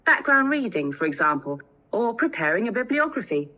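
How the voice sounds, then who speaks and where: A woman delivering a scripted student lecture in an instructional manner, with measured phrasing and clear reading. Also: on the high side, very animated, pushed and bright. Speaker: lecturer in a listening test recording.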